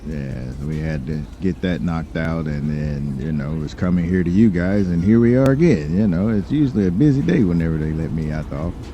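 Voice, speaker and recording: A man playing an unhurried, understated lawyer who moonlights as a crime boss, in an online roleplay. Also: sits low, expressive, warm tone. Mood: relaxed. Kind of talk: improvised online roleplay dialogue. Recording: dull muffled audio, faint noise.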